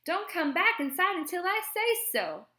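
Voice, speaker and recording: A woman voicing an exasperated, hot-tempered aunt who scolds and shouts at children in an audiobook story. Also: very high voice, very animated, normal speed, pitched above the performer's own voice, full character voice. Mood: furious shouting.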